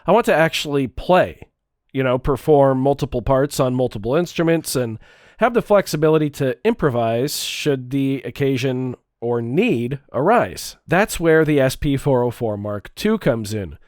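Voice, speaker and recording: A man with a stuffed-up nose, talking through a relaxed, chatty walkthrough of his music gear. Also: mid-range voice, normal speed, no room sound, studio quality. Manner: relaxed, chatty, with fillers and small restarts. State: stuffed up, congested. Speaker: music gear video creator. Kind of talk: casual tutorial walkthrough.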